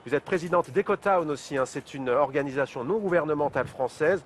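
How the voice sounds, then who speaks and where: A man's voice: fairly high pitch, brisk, slight background noise. A middle-aged man hosting a television talk show, introducing and questioning a guest in a conversational way full of fillers.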